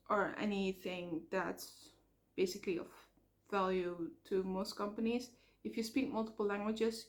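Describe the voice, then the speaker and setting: A woman with a Dutch accent talks straight to her viewers in a loose, personal vlog. Her voice sits at an everyday middle pitch. She speaks earnestly and rambles a little, filling gaps with "um" and catching her own slips. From Netherlands. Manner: earnest, rambling with fillers and self-corrections. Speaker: vlogger. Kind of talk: casual personal vlog talk.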